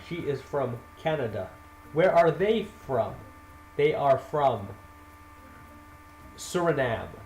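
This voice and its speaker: A man gives a slow, carefully paced English lesson, repeating simple example sentences and correcting himself. His voice sounds roomy and echoey. Faint ambience hums behind him. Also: medium pitch, a little mellow, a little hiss or hum. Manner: deliberate, repeats drill sentences, self-corrects. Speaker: English teacher. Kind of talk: slow spoken grammar lesson.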